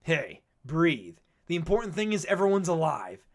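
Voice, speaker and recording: A man voicing a calm, generous, apologetic creature in a comic dub, polite and reassuring. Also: a little high, moderate pace. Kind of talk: animated comic dub acting.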